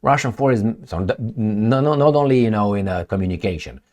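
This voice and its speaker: Sarcastic man, lively pitch. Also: brisk. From Romania. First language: Romanian. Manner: rambling, sarcastic, with many fillers and restarts. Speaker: political commentator. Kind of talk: casual solo commentary reading news aloud.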